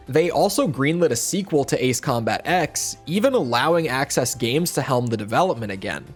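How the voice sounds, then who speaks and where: A man reading a scripted video essay voiceover as a game reviewer, slightly hoarse, explaining in a steady, upbeat way. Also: medium pitch. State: slightly hoarse, near losing his voice.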